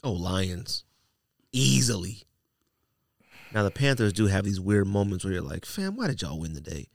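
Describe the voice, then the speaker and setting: Low-voiced man in casual sports banter. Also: big pitch swings, normal speed, gravelly. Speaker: podcast panelist giving football picks.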